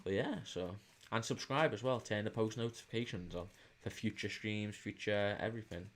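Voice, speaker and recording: Low-voiced Liverpool man hosting a livestream. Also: normal speed. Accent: Liverpool English. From England, Liverpool. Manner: hesitant, informal, reading out viewer comments. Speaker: livestream host. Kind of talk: casual live chat with viewers, football watch-along.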